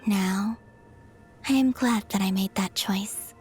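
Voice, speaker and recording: A woman voicing a gentle, wistful young moon goddess in a game cutscene, speaking softly and sincerely in a measured, breathy way. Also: medium pitch, faint music behind, close to an everyday voice. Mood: wistful, tender.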